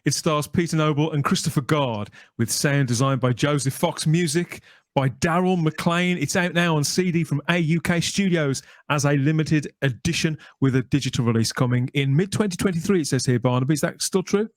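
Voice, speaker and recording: A man's voice: on the high side, fairly quick, no room sound. Dry-sounding male podcast host, chatty patter. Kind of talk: podcast interview and scripted sign-off.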